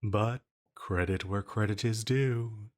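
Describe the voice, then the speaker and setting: An ancient banished villain acted by a man speaks in a very low voice at an unhurried, deliberate pace. He is silky and intimate, flirting with a condescending, dangerous charm, as in an audio drama. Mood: smug, flirty. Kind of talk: roleplay audio drama monologue.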